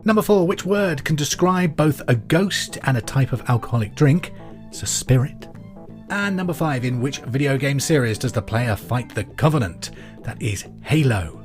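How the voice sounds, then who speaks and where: A man hosting a pub quiz podcast, reading questions and answers in a clear, deliberate way with casual asides. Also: a little high. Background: music under the voice.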